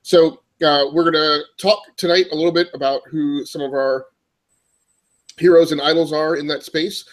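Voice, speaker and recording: A man's voice: a little high, steady tone, moderate pace, rough recording. Guy hosting a podcast, stuffed up from a cold, rambles with tons of uhs. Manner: rambling with many uh fillers. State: congested from a cold. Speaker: podcast host. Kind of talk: casual podcast chat.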